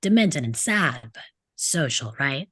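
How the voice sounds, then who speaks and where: Woman playing a low-voiced sarcastic teenage bad boy. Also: sing-song, a little slow, a bit bright, slightly rough, dry recording, studio quality, full character voice. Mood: dry mockery. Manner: mocking, sardonic. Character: sarcastic rebellious male teenage troublemaker, taunting and cynical. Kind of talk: script table read.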